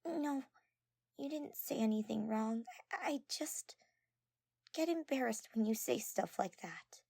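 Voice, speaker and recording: A woman voicing a shy, soft-spoken and earnest young girl, gentle and hesitant, in an amateur audio drama. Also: fairly high pitch, animated, a little slow, creaky, no room sound, voice pushed up, full character voice. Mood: embarrassed.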